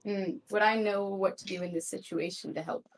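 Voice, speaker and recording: A woman's voice: mid-range voice, fairly even intonation, a little fast, slight background noise, low-quality recording. A woman playing a tabletop roleplay, casually stating her character's actions in short, understated sentences.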